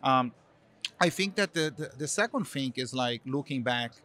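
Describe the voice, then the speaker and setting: A man's voice: mid-range voice, expressive, moderate pace, bright, forceful tone. Middle-aged Brazilian man with a Brazilian accent, an interview guest who rambles loosely through his answers with plenty of fillers. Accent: Brazilian Portuguese. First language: Portuguese. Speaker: guest, marketing executive.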